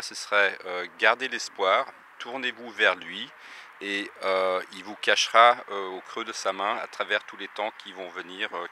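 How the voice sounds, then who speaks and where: A middle-aged man with a deep yet very forceful, bright voice. He answers as an interview guest, halting often as he searches for words. There is faint ambient noise behind him. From Belgium, the Netherlands and France. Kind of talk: unscripted personal testimony.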